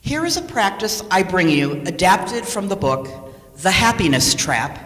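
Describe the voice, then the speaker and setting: A woman's voice: on the low side, sing-song, a little slow, a bit bright. Woman reading a sermon, echoey hall. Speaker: lay speaker reading a sermon. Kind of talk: sermon read from a script. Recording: echoey hall with long reverb.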